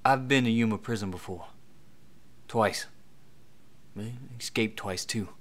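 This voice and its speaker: A man's voice: on the low side, unhurried, natural voice. A man playing a cool, wry notorious outlaw in an amateur Western audio drama.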